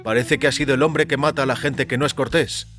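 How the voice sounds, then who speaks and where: A man's voice: mid-range voice, fairly even intonation, quick pace, fairly bright tone, soft background music, pitched above the performer's own voice, close to an everyday voice. A man voicing a plain-spoken, gossiping neighbourhood barman in an audio story, matter-of-fact and unsentimental. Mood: gossipy, frank.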